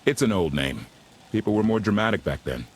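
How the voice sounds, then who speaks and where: A man's voice: lowish voice, animated, fairly quick, slightly rough. An actor playing a brash, wry male starship pilot in a sci-fi game, with clipped, informal talk. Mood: wry, grumbling.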